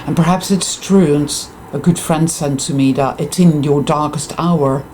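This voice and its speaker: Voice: medium pitch, fairly even intonation, normal speed, a little mellow, a little hiss or hum. A speaker with a Dutch accent, a native Dutch speaker, gives an intense, profane, emphatic monologue to viewers, repeating words for emphasis. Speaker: spiritual vlogger.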